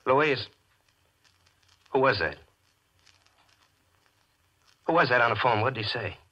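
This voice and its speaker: An actor playing a possessive, guilt-ridden gambler with an intense, hard-boiled manner in a 1940s radio mystery. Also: male voice, medium pitch, expressive, normal speed, sharp tone, highs cut off. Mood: urgent. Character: possessive, guilt-ridden gambler narrating his panicked downfall. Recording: vintage radio broadcast with music behind.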